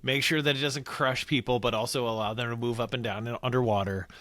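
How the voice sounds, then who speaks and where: A man's voice: mid-range voice, fairly even intonation, fast talker, fairly bright tone, no room sound. A young man co-hosting a podcast, enthusiastic and jokey in casual chat, swearing easily. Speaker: podcast co-host.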